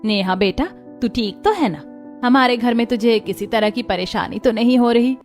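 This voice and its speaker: A woman's voice: on the high side, moderate pace, lightly acted. Woman playing caring middle-aged mother-in-law, affectionate, reassuring, cartoon drama, background music.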